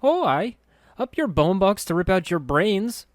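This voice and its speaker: A woman's voice: mid-range voice, very animated, measured pace, dry recording, studio quality, pitched above the performer's own voice. A man voicing a brash, sneering young woman scavenger with a snappish, scornful way of talking.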